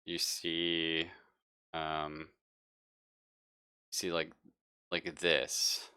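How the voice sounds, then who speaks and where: A man improvising narration as game master of a tabletop roleplaying game, halting and informal as he thinks aloud. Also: very deep voice, completely flat delivery, pushed and bright, smooth.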